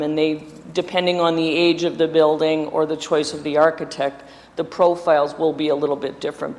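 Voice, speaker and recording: Instructor at a live workshop, mid pitch, chatty step by step demo, big echoey hall, bit of hiss. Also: a little mellow. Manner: practical, step-by-step explaining while handling tools; chatty with self-deprecating asides. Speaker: window restoration instructor. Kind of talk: live hands-on workshop demonstration. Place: indoor workshop room before an audience.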